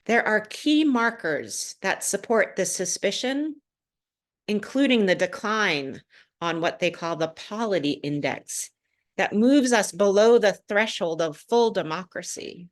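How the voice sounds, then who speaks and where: A woman minister delivering a prepared sermon to a congregation, phrasing deliberately and pausing for emphasis. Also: medium pitch, expressive.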